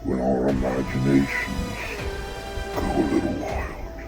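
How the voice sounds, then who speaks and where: A man's voice: rumbling low, monotone, unhurried, mellow, soft background music. A man delivering an ominous, dramatic horror show introduction as an announcer.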